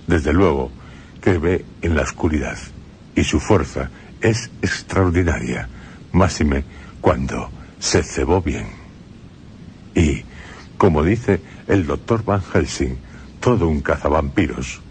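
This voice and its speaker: Very deep, slow Spanish radio narrator. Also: male voice, expressive, darkish tone, slight background noise. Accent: Spain Spanish. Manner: grave, measured, ominous. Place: radio studio.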